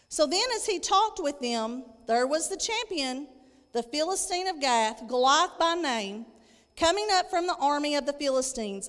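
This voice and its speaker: A woman's voice: high voice, a bit bright. A woman in her thirties preaching a sermon, reading scripture and explaining it emphatically, repeating phrases for weight. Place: church stage indoors, at a lectern. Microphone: handheld microphone. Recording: echoey hall with long reverb.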